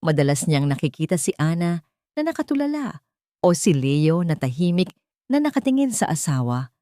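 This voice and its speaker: A woman narrates a dramatic scripted story in a low-pitched voice. Her delivery is earnest and theatrical, and the recording is close and dry. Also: very animated, moderate pace, warm tone, no room sound.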